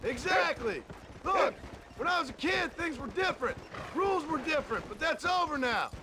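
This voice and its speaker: A man playing a stern, weary ex-outlaw father in a video game, gruff and blunt, with a gravelly American Western drawl. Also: very high-pitched, sing-song, normal speed, background noise, full character voice. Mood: earnest, regretful. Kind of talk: video game cutscene acting.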